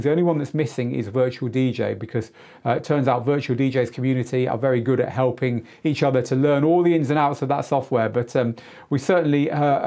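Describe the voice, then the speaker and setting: A man's voice: medium pitch, darkish tone. A middle-aged man hosting a live Q&A, explaining things casually and emphatically in an informal, chatty way. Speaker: host and DJ tutor. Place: small indoor studio. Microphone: lapel mic.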